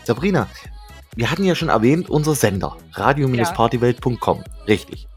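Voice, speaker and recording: Lebhafter junger Mann, locker plaudernd. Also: male voice, medium pitch, big pitch swings, normal speed, faint music behind. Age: 20s. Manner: laughs often, joking. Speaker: podcast co-host. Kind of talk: casual chat.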